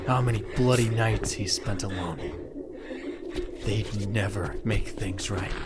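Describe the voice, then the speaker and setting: Male narrator, lower-pitched, dramatic scripted storytelling, with clear background noise and ambience.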